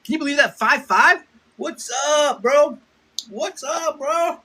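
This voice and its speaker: A man's voice: extremely high pitch, takes it slowly, sharp tone. A man in his fifties chatting casually on a live stream, rambling, repeating himself and swearing as he reads comments. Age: 50s. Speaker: food content creator streaming live. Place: home, Chicago. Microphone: phone.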